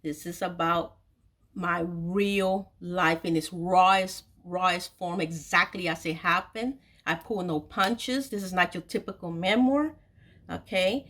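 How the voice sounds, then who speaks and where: A woman's voice: fairly low pitch, fairly bright tone. A woman in her forties hosts a personal storytelling video. She speaks candidly and confidingly to her audience. Key phrases are stressed and often repeated for emphasis. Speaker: host of an online video series, author telling her own story.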